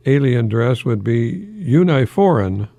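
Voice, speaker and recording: An older American man hosting a folksy solo radio talk in a halting, rambling, easily amused manner. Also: medium pitch, animated, vocal fry, warm tone, dry recording. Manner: chuckles often at his own remarks, halting and rambling. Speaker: radio host, longtime English professor.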